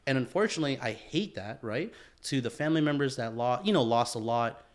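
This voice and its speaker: A man co-hosting a casual podcast chat, laid-back and quick to agree with lots of fillers. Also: mid-range voice, animated. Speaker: podcast co-host.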